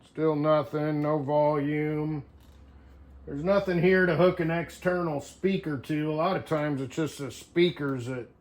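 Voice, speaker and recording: A man's voice: on the high side, unhurried, a little mellow. A man talking casually to viewers in a folksy, unscripted way, thinking aloud as he tinkers through a hands-on demonstration. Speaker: hobbyist CB radio vlogger.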